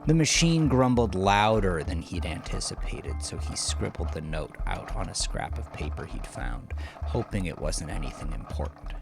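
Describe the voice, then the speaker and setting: A man narrating scripted horror fiction in a slow, dramatic manner, often dropping into breathy near-whispers. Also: sits low, sing-song. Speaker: audiodrama narrator. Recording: music under the voice. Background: music.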